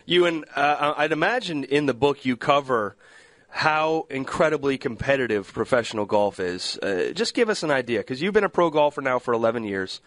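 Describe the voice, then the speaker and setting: A man hosting a radio interview, asking measured questions and slowing down for titles. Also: mid-range voice, animated, fairly bright tone, dry recording. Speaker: radio show host.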